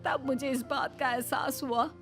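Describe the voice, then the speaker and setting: A woman's voice: high-pitched, fairly even intonation, measured pace, fairly bright tone. A woman voicing an older mother-in-law in a cartoon moral story, in a dramatic, earnest style. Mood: remorseful, earnest. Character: older mother-in-law, stern then remorseful and caring. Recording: background music under the voice.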